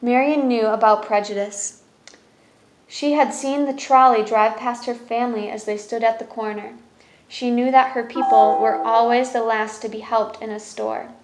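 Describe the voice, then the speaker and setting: A woman's voice: a little high, steady tone, normal speed, roomy sound, low-quality recording. A woman reading a children's picture book aloud as a storyteller, in narration with brief explanatory asides.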